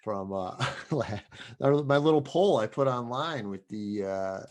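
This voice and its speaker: A male podcast host with a medium-pitched voice. He chats loosely and informally, as in a relaxed conversation between co-hosts. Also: slow. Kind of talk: casual chat.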